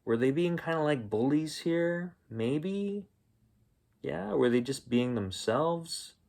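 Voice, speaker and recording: A man reading a children's picture book aloud as a storyteller, expressive and unhurried, with gentle asides to the listeners. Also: fairly high pitch, vocal fry. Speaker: bedtime story reader and host.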